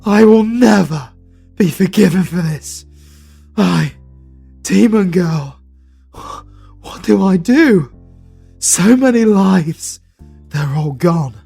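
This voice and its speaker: British man as a tormented demon hunter, gravelly, very slow and halting, fantasy audio drama. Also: sits high, expressive, soft background music. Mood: anguished. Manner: anguished, halting, breaking into shouts. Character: grief-stricken male demon hunter, tormented. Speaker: voice actor. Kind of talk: fantasy ASMR audio roleplay.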